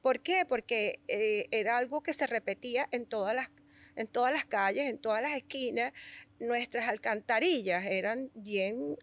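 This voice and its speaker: A middle-aged Venezuelan woman with a Venezuelan accent, a sculptor telling stories in an interview, animated and restarting her words often. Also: a little high, moderate pace. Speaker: guest sculptor. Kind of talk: conversational storytelling.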